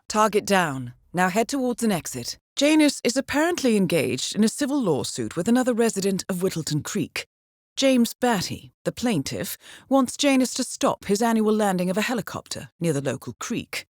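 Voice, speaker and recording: An actress with a British accent playing a composed, dryly wry intelligence handler briefing an assassin in a video game, poised and measured. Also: female voice, fairly low pitch, darkish tone, no room sound. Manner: poised, measured, dry understatement.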